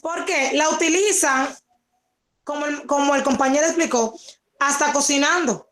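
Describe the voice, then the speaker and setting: Woman, pretty high voice, student answering the teacher on a video call. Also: normal speed, fairly bright tone. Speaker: student in an online math class. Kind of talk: informal spoken answer.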